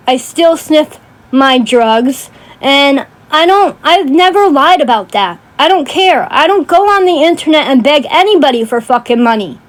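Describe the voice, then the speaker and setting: A woman ranting casually on a livestream in a blunt, sweary way, often checking in with emphatic tag questions. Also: high voice. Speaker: livestream host.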